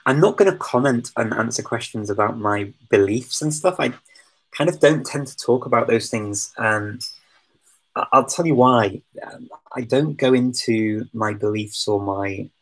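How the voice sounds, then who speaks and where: Hesitant man with a gravelly, husky voice. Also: on the low side, rough recording. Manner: hesitant, many ums and restarts, self-deprecating. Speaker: language-learning streamer answering viewer questions. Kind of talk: casual live Q&A chat.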